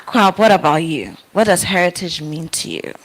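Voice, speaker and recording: Woman moderating a live panel, low voice. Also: big pitch swings, moderate pace, poor audio. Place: event with live audience.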